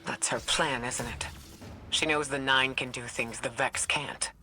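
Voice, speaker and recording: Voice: medium pitch, fairly even intonation, measured pace, pushed and bright, a little hiss or hum. An actor playing a serious, resolute commander in a game, terse and determined. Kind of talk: scripted game cutscene dialogue.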